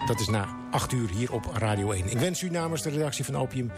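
A man presenting on the radio as host, reading announcements with hesitant pauses. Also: medium pitch, lively intonation. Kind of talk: radio presenting, credits and sign-off. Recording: music playing behind the voice. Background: music.

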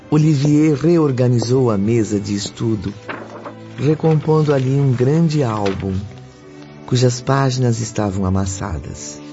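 A man with a Brazilian accent reading scripted narration for a radio drama. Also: medium pitch. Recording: dull lo-fi audio under loud music.

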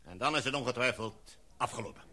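A man's voice: mid-range voice, expressive, fast, pushed and bright. A man playing a commanding expedition chief. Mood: measured. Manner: formal, speech-like address to a meeting. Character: commanding male expedition leader, formal and decisive. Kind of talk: radio play acting.

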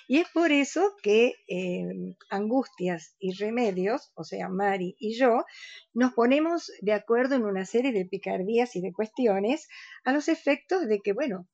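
Dull dry recording, female interview guest, average pitch, conversational explaining. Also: a little mellow, no echo. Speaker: actress, programme guest.

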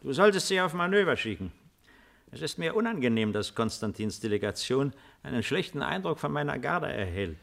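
Aged ex-emperor for vintage radio drama, gravelly and expressive, wryly authoritative and weary. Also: male voice, medium pitch, a little fast. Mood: displeased. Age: older. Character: old retired Roman emperor, weary, dryly commanding. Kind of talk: 1950s German radio drama acting.

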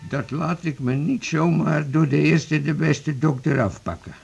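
A cheeky old boozer, gravelly and slow, teasing the young doctor. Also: male voice, on the high side, highs cut off, over music. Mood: wry. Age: older. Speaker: patient. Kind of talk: 1980s radio drama acting.

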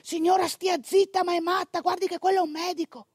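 A woman acting a brusque hospital nurse from comic radio theatre. Her voice is high and her manner curt, dismissive and matter-of-fact, recorded very dry. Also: little pitch movement, a little fast, a little mellow, no echo. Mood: scolding. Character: brusque, bureaucratic female nurse hushing a patient.

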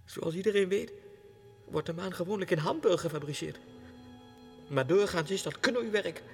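An actor playing a deranged clerk who believes he is a king, in an erratic radio drama monologue that swings between breathy hushed confiding and agitated outbursts. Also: high-pitched, normal speed, faint music behind. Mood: frantic, wailing. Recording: reverberant 1960s radio studio recording.

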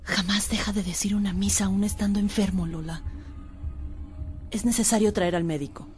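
An actress playing a protective, devout sister of a priest in a radio drama, earnest and measured. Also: female voice, medium pitch, steady tone, a little mellow, soft background music, close to an everyday voice. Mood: concerned. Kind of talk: Spanish-language radio drama acting.

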